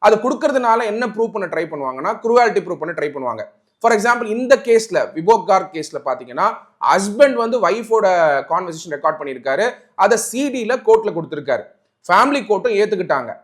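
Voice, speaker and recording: High-pitched Tamil-accented man explaining law. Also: sing-song, fast talker. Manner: didactic, repeats and restates key points. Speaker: advocate presenting a legal explainer. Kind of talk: explanatory legal talk mixing Tamil and English.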